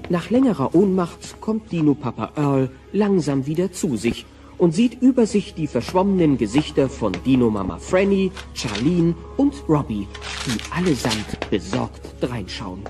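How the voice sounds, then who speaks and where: Mellow, lively male audio drama narrator. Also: a little high, moderate pace, recorded from a distance, soft background music.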